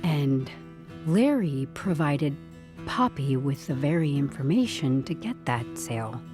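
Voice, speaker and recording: An actress playing a tactful but persistent HR investigator, asking careful questions in a scripted audio drama. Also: female voice, deep voice, sing-song, measured pace, over music. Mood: careful, probing. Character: probing, tactful female HR investigator, quietly persistent.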